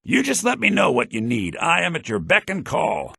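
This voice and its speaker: An oily, animated fantasy shopkeeper. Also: male voice, moderate pace, creaky, breathy edge, no echo, clean studio sound, exaggerated voice. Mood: smug, welcoming. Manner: fawning, wheedling salesman patter. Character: oily, eager, greedy male fantasy shopkeeper. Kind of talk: video game character acting. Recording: lo-fi low-bitrate game audio.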